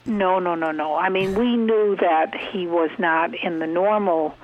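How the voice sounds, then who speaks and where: A woman's voice: lowish voice, a little slow. A woman guest explaining events carefully on a phone interview. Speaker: guest, co-author. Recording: muffled phone line.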